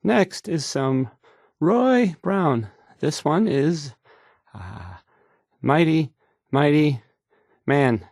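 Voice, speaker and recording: A man's voice: on the high side, animated, gravelly, darkish tone, no echo, studio quality, heavily acted. An actor playing an awkward, insecure young male radio DJ who stammers and gets flustered introducing songs. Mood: flustered, unsure. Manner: halting, stammering, flustered, self-doubting. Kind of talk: stumbling radio song introductions.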